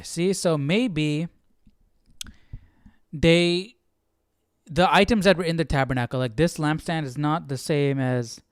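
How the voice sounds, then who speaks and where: A man's voice: mid-range voice, vocal fry, clear, resonant tone, no echo, clean studio sound. A young man hosting a Bible study stream, casually thinking aloud in a hesitant, questioning way. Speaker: Bible study livestream host.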